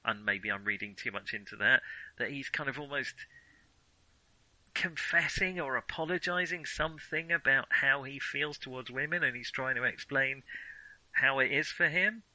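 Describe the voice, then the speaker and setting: A man's voice: medium pitch, normal speed, bright, forceful tone, no echo, poor audio. A man co-hosting a film podcast, talking casually and digressively with firm opinions.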